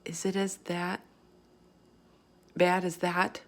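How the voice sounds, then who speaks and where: A woman's voice: on the low side, little pitch movement, slow. Lady reading as a little girl in a kids' story, curious, lots of questions. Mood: concerned. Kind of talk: children's book character in a read-aloud.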